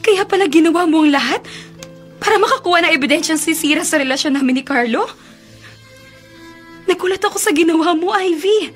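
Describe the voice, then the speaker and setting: A heartbroken college girl in a radio drama. Her voice sits high, wounded and emotional, with faint music behind it. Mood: shocked, indignant. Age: young adult. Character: heartbroken young college woman, wounded and indignant. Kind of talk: Filipino radio romance drama acting.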